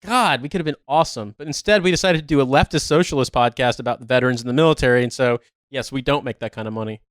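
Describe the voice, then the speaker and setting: A man's voice: mid-range voice, rapid-fire, no room sound, studio quality. A man co-hosting a podcast in casual banter, talking in an emphatic, incredulous, sweary way. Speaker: podcast co-host.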